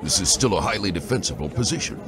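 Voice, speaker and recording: An actor playing a stubborn, insistent older veteran soldier in a fantasy audio drama. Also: male voice, sits low, big pitch swings, fast talker, crowd noise. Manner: insistent, argumentative. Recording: loud music under the voice.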